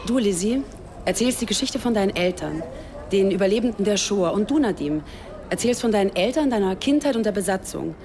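A woman's voice: mid-range voice, lively intonation, fairly quick, a crowd in the background, natural voice. Brash young woman journalist, played by an actress. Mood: breezy pitching. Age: 30s. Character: brash, tactless German woman journalist of about thirty. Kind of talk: radio drama acting.

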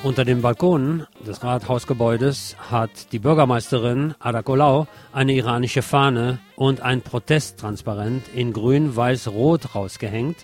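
A man's voice: medium pitch, a little slow, few pauses, darkish tone. A middle-aged man reading a scripted satirical radio column with ironic, theatrical emphasis. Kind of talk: scripted satirical commentary and literary reportage. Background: music behind the voice.

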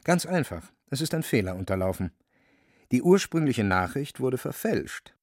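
A man narrating a literary radio play in a measured, wry manner. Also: medium pitch, big pitch swings, no echo, clean studio sound. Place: studio, very dry.

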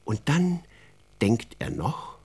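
A man narrating a children's radio story from a script in an expressive storytelling manner. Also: medium pitch, slow, slightly rough.

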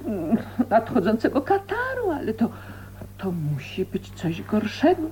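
An actress playing a fretful, scandalized mother, plaintive and halting, in a radio drama. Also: fairly high pitch, wildly swooping pitch, darkish tone. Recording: dull old radio recording with hum.

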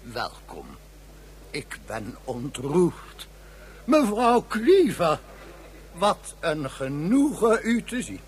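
A man's voice: fairly high pitch, wildly swooping pitch, very slow, full character voice. An actor playing a pompous, effusive male president of Mars in a radio play, speaking in flowery, theatrical speeches. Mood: welcoming.

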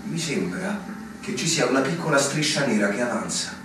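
An actor playing an anxious, yearning young army lieutenant in a theatrical radio drama, earnest, wistful and hesitant. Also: male voice, mid-range voice, expressive, normal speed, lightly acted. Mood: uneasy. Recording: very echoey, distant, noisy stage recording.

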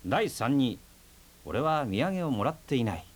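An actor playing a brash, teasing man who talks in a rough, casual, wry way in a radio drama. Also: medium pitch, big pitch swings, unhurried. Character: brash, teasing young man, stubborn and playful. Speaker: houseguest.

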